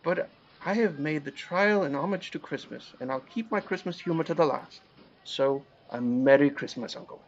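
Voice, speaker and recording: A man playing a cheerful, warm-hearted young nephew in an audio play, earnest and pleading. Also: on the high side, normal speed, highs cut off. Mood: resolute, sorry. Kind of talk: audio play of a Victorian storybook.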